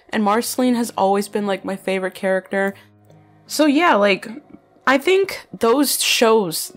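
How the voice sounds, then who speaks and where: A young woman chatting casually while answering fan questions, filling her talk with "like" and "bro", her voice slightly nasal. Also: medium pitch. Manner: chatty, many fillers like "like" and "bro". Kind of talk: casual chat.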